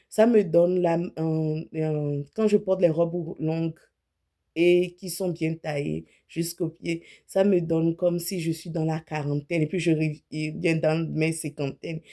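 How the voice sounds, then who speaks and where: A woman in her late thirties talks to her viewers like a friend, giving clothing advice with easy frankness. Her voice is warm and mellow, and she often stops to rephrase or correct a word as she goes. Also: on the low side. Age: 30s. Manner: chatty, self-correcting, speaks frankly about her own body. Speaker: image consultant. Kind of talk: casual talk to camera.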